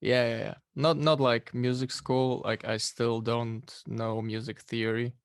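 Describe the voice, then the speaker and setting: A young man from Poland, a musician guest, answering interview questions in a relaxed, rambling way with lots of fillers. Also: medium pitch, measured pace, smooth, no room sound, clean studio sound.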